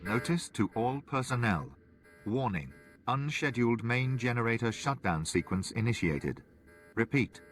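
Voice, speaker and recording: A man's voice: medium pitch, expressive, a little slow, lightly acted. Impersonal official alarm announcer played by a man. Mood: impersonal warning. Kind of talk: scripted public-address announcement in a video game.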